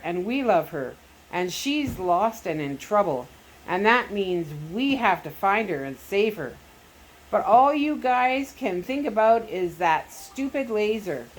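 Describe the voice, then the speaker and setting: A woman's voice: mid-range voice, voice pushed up, rough recording. A woman voicing an impassioned, outspoken boy who speaks up earnestly and indignantly. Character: impassioned, outspoken boy defending his sister.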